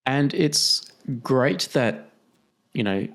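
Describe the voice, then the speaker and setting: A man's voice: medium pitch, animated, drawn-out words, creaky, fairly bright tone. A man co-hosting a podcast, asking questions in easygoing, casual chat. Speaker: podcast co-host.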